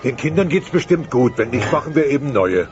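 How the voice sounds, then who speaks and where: A man's voice: mid-range voice, sing-song, a little fast, close to an everyday voice. In a children's audio drama, a man voices a blunt, bumbling middle-aged dinosaur father with a clumsy, blustering manner. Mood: dismissive. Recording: dull cassette sound, music behind.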